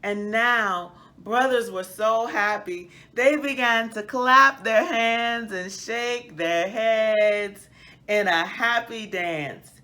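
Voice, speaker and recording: A woman reading a children's book aloud as host, in an expressive, emphatic storytelling style. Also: a little high, takes it slowly.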